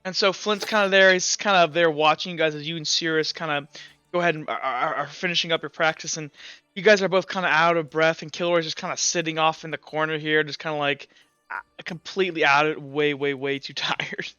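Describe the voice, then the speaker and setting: Young male game master, bright crisp voice, casual hedging narration, lo-fi dry recording. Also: a little high, fairly even intonation, a little fast, dry recording, soft background music. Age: young adult. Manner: loose and hedging, full of kinda and uh. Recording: dull lo-fi mic.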